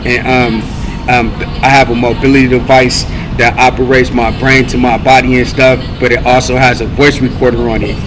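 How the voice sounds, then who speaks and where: An American man speaks in a rambling, earnest monologue at a middle pitch with very little melodic movement. His delivery is halting and repetitive, circling back over his declarations. Clear background noise sits under the recording. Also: little pitch movement. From United States (California).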